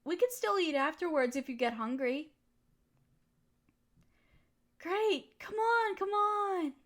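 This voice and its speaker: A woman playing an affectionate, teasing, gently dominant partner who soothes and flirts in an intimate roleplay audio. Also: very high-pitched, expressive, takes it slowly, full character voice. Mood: warm, attentive.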